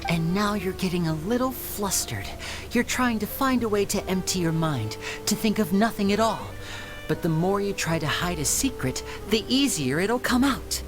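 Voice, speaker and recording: Brief: a charming young magician, teasing showman, lively pitch, music behind. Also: moderate pace. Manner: teasing, soft-spoken showman.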